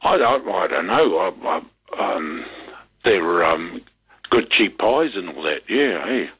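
A man's voice: low-pitched, animated, slow pace, slightly rough. An older man with a New Zealand accent calling a talkback radio show, rambling hesitantly with many ums and repeated words. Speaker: caller. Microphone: telephone. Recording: tinny phone line.

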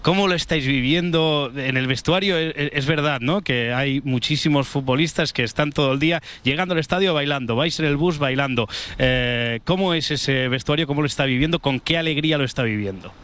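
A man's voice: on the high side, brisk, a bit bright. A man with an accent from Spain, asking conversational interview questions and rephrasing them as he goes. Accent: Spain Spanish. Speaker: sports interviewer, co-host.

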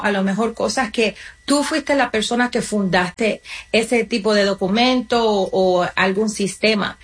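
A woman answering interview questions as a community organization guest, explaining her advice with examples and addressing the listener directly. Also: fairly high pitch. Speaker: guest, community nonprofit representative.

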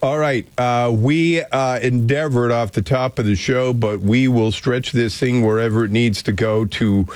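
A man hosting a call-in talk radio show, opinionated and ranting, with frequent filler pauses. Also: medium pitch, dry recording. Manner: opinionated, animated, ranting asides. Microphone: studio microphone.

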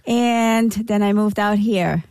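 A woman's voice: medium pitch, slow pace, darkish tone, smooth, no echo, clean studio sound. A woman from Puerto Rico who grew up in New York, a guest on a talk show giving plain, direct answers. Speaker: injury advocate guest. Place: studio.

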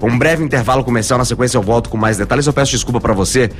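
A man hosts a morning radio show at a quick clip, upbeat and full of catchphrases. His voice is hoarse from a cold, and a faint music bed plays behind him. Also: medium pitch. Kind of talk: lively radio host banter.

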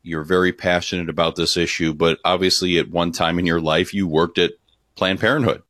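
Very deep-voiced male podcast host. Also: fairly even intonation, brisk, fairly bright tone, dry recording, studio quality. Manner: upbeat, earnest promoter. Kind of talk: hosted interview.

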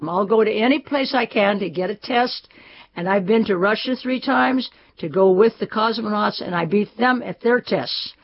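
A woman's voice: mid-range voice, normal speed. An older woman speaking proudly and emphatically in an archive interview. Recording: dull archive recording.

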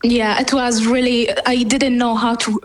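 A woman's voice: fairly high pitch, level tone, measured pace. An eighteen-year-old woman from Syria, a native Arabic speaker, giving a personal account as a remote interview guest. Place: remote line from Beirut.

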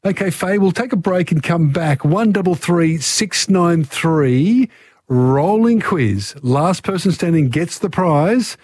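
An Australian man hosts a call-in radio quiz, upbeat and chatty with his callers. His voice sits a little high for a man. The studio sound is close and dry. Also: animated, slow, darkish tone, slightly rough, dry recording. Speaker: radio host.

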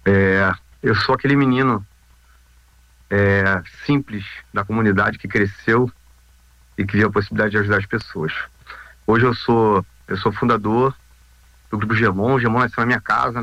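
Bright-voiced middle-aged Brazilian man, dry sound. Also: on the low side, fairly even intonation, no echo, highs cut off. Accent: Brazilian, Rio de Janeiro. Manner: halting, repeats phrases, frequent tag questions. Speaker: interview guest, volunteer rescue group founder. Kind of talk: informal spoken interview.

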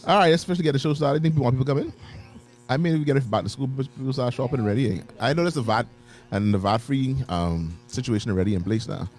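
A man's voice: medium pitch, fast talker, darkish tone, soft background music. A man hosting a live radio show, bantering casually and laughing often.

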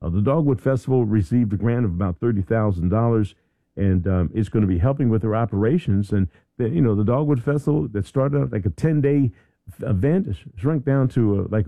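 A man's voice: medium pitch, dry recording, phone-quality sound. A middle-aged man hosting a morning radio show in the studio, chatting casually and rambling through stories with many restarts. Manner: animated storyteller, rambling with frequent restarts. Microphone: studio microphone.

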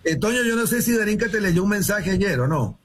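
A man's voice: high voice, expressive, fairly quick. A Costa Rican man in casual conversation as a contributor on a radio show. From Costa Rica. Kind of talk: casual chat.

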